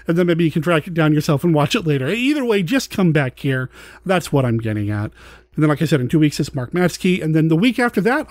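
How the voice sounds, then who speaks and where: High-pitched man hosting a casual podcast. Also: darkish tone, dry recording. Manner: chatty, conversational. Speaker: podcast host.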